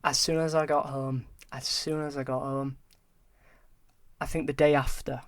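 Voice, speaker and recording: A young man in his early twenties, a podcast guest talking candidly and haltingly, often repeating words. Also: medium pitch, flat delivery, no room sound. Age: early 20s. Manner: halting, repeats words, open about struggles. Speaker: podcast guest, film graduate. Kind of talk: candid conversation.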